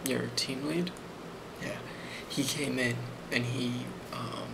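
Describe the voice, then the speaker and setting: Guy doing asmr office boss roleplay, soft halting talk, creaky voice, kinda noisy recording. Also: mid-range voice, fairly even intonation. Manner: soft-spoken, often slipping toward a whisper; hesitant, halting, many ums and pauses. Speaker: ASMR role-player playing a company boss giving a performance review. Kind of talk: ASMR role play, improvised monologue. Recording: clearly audible background noise.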